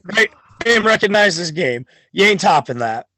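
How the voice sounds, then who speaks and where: A man's voice: high voice, lively intonation, measured pace, bright, forceful tone. A man talking as a wrestling guest on a podcast, boastful and hyped, full of swagger. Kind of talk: podcast chat.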